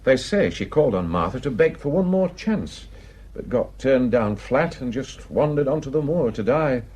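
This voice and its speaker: A man's voice: medium pitch, lively intonation, moderate pace, a little mellow, husky. An actor playing a gossipy, plain-spoken village man with a Northern English accent, telling indignant tales in an emphatic, colloquial way in a radio drama. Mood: grim gossip. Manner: garrulous, emphatic, colloquial storytelling.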